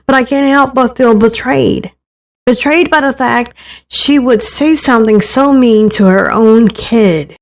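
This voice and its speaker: An insecure eighteen-year-old girl played by a woman tells her story in a visual novel. Her voice sits fairly high, earnest and hesitant, with a defensive, easily hurt edge and the odd stammer. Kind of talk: first-person visual novel reading.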